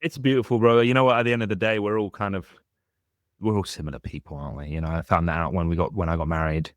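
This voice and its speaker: A man's voice: low voice, steady tone, fast talker, no room sound, studio quality. A man hosting a podcast in casual chat, friendly and chatty. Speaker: podcast host.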